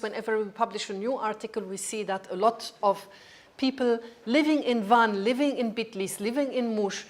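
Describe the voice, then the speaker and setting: A woman with a slightly high voice gives a lecture talk. She speaks, and her is Armenian. She pauses often, fills the gaps with ums, and restarts her phrases. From Germany. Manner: hesitant, with frequent ums and restarts. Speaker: project founder speaking at an academic event.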